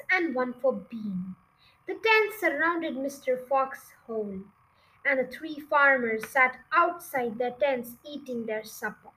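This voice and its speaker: A woman reading a children's story aloud as an amateur narrator, in a deliberate, expressive way. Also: sits high, low-quality recording.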